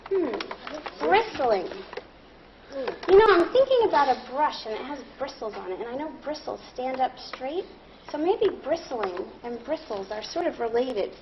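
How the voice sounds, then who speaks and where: A woman leading a read-aloud lesson as a primary teacher, deliberate and instructive, thinking out loud. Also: high-pitched, very animated. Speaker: primary school teacher reading aloud to a class. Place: classroom. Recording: muffled classroom recording with clear background noise.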